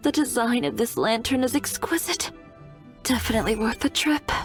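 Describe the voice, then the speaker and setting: A woman's voice: a little high, very animated, normal speed. An actress playing a poised, appreciative young woman designer in a video game, with polished, articulate delivery. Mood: admiring, curious. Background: music.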